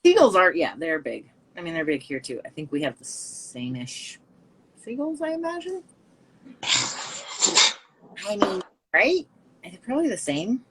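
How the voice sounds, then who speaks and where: A woman's voice: fairly low pitch, sing-song, measured pace, long pauses, heavy background noise. A woman hosting a livestream, chatting casually while painting; blunt and chatty, with the odd swear. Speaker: livestream host.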